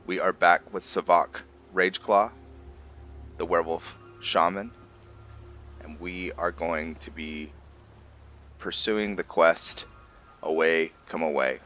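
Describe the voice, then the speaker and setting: Low deep guy doing chill informal game commentary, slow talker, explains stuff as he goes. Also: fairly even intonation, fairly bright tone, dull audio, a little hiss or hum. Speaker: video game roleplay host.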